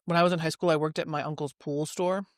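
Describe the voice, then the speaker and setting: Chatty man with a slightly high voice. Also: fairly even intonation, fast talker, no echo, studio quality. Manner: rambling anecdotes with fillers. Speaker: podcast co-host. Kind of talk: casual chat, storytelling.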